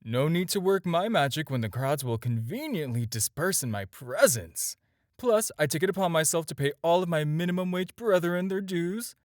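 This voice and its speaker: Flirty villain for audio roleplay, played by a man: lightish male pitch, very lively intonation, teasing and self-assured. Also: no room sound, clean studio sound. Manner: teasing, casual, conversational with hesitations. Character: flirty, smooth, self-assured male supervillain courting his tailor. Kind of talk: scripted audio roleplay addressed to the listener.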